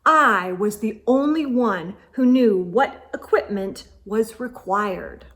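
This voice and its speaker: A woman reading a picture book aloud to children, expressively, with gasps and hushed asides. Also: a little high, takes it slowly. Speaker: children's read-aloud storyteller.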